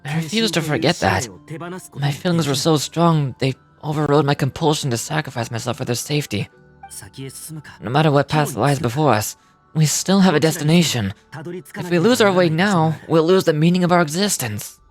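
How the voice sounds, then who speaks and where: An actor playing a solemn, dignified military commander in a video game, speaking in a measured, formal and grave way. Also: male voice, on the high side, soft background music, close to an everyday voice. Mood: solemn, resolute. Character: male harbinger commander, solemn, dignified warrior.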